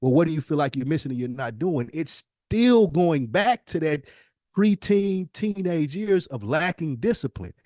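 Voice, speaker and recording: An American man of about forty speaks in a casual podcast style. His voice sits a little higher than average for a man. He is reflective yet emphatic, repeating phrases to drive points home, and the sound is dry with no room on it. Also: lively intonation, normal speed, a little mellow, no echo. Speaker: podcast host. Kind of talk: casual conversational podcast talk.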